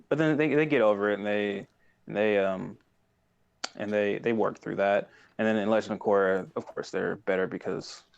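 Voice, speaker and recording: A man in his thirties with a low voice and a noticeable creak at the edges. He chats casually as a podcast co-host, rambling excitedly through retellings and slipping into quoted dialogue. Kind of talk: casual chat.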